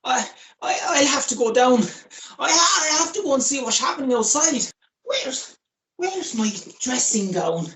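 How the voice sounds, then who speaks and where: A woman playing a flustered, high-voiced hapless man. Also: lively intonation, unhurried, fairly bright tone, a little hiss or hum. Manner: hesitant, stammering. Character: flustered, hapless man caught with his lover. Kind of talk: radio play acting.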